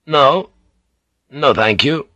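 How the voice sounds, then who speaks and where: A man voicing an exhausted English sailor turned samurai, speaking slowly and wearily. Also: medium pitch, sharp tone, no room sound, pitched above the performer's own voice. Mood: weary, polite. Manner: slow and weary.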